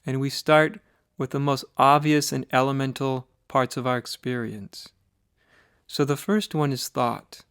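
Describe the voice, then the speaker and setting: An American man leading a guided meditation as a spiritual teacher, speaking in a measured, deliberate way with pauses between short phrases. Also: medium pitch, fairly even intonation, fairly bright tone, smooth, no room sound, clean studio sound. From United States.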